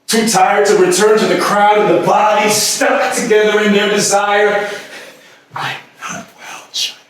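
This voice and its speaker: An actor playing an anguished man haunted by obsessive love, in an intense, halting theatrical monologue. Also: high voice, level tone, fairly bright tone. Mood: agitated, disbelieving. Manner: intense, halting, with repetitions and sudden swells. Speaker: stage actor. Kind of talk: theatrical staged reading, dramatic monologue. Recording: echoey room from far off, faint noise.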